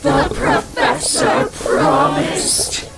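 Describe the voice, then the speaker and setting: Slow, dramatic alien fungus creature. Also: female voice, sits high, very animated, a bit bright, exaggerated voice. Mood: anguished. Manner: slow, dramatic, anguished delivery. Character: desperate dying fungal alien creature hungry for energy. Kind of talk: audio drama acting. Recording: music behind the voice.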